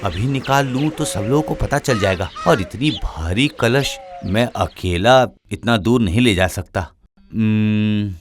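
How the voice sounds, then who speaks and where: A man's voice: lowish voice, unhurried, slight background noise, lightly acted. A man playing a meek but crafty poor village potter and harried husband in a cartoon, hesitant and exclamatory. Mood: amazed, scheming. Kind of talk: cartoon story voice acting.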